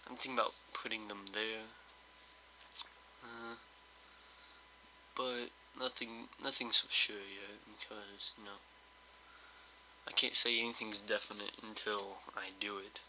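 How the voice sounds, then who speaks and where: Male gameplay host, slightly hoarse, bright tense tone, monotone, rambling and hesitant delivery. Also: on the low side, a little slow, long pauses, slight background noise, rough recording. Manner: rambling, hesitant, full of fillers. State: slightly hoarse, just woke up. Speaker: let's play host. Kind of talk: casual gameplay commentary.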